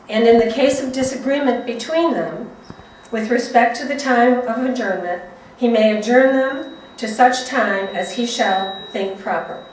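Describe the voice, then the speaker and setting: A woman giving a formal public reading of a legal document as an invited speaker. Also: a little high. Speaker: invited guest reader, city commissioner. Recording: echoey event, distant mic, faint noise.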